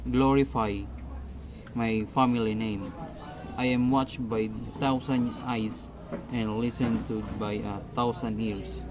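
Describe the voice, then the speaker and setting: A man playing a self-important scholarly magistrate in an amateur radio drama, speaking formally and haltingly. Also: medium pitch, close to an everyday voice. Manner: halting, stumbling delivery with formal wording. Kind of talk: amateur stage-style radio drama reading. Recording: noisy amateur recording with steady background noise.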